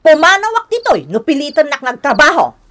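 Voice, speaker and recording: A woman acting a lazy, scolding young wife and harsh aunt in a radio drama, sharp and complaining. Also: high voice, huge pitch swings, brisk, heavily acted. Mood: defiant, complaining.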